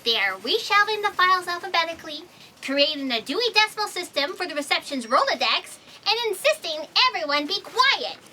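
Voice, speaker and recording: A woman's voice: very high voice, very animated, a little fast, pushed and bright, a little hiss or hum, heavily acted. A woman playing a flustered, clumsy, literal-minded fact checker who rambles in exasperation in a comedy audio drama.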